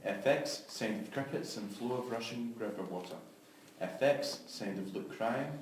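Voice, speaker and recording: A man's voice: lowish voice, normal speed. A man reading out stage directions as clipped scripted narration for a drama. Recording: very echoey, distant mic, faint noise.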